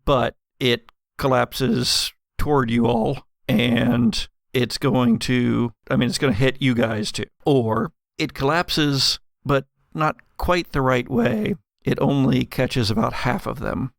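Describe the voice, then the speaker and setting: A man narrating a tabletop role-playing game as game master, telling the story dramatically with deliberate pauses. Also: mid-range voice, gravelly, no room sound, studio quality. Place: dry home studio.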